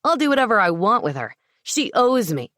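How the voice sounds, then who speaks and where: Woman doing a loud, snarling ex-husband, abusive, storybook villain, pushed and strained. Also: low voice, steady tone, normal speed, clean tone, dry recording, studio quality, voice pushed down. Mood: raging. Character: cruel, sneering ex-husband, young man.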